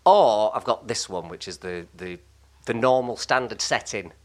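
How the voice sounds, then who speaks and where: A man's voice: on the low side, animated, moderate pace. A man in his forties, a podcast guest, chatting playfully and jokingly switching between silly voices. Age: 40s. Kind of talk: casual interview chat.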